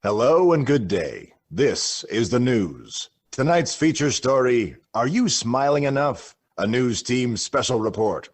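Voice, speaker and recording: An actor playing a chipper, formal news and weather reader, giving a stylised radio report with exaggerated announcer formality. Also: male voice, medium pitch, big pitch swings, unhurried, few pauses, soft background music.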